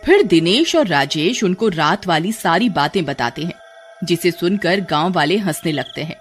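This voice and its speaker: A narrator telling a cartoon horror story in steady, scripted storytelling. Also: mid-range voice, moderate pace, slightly rough, soft background music.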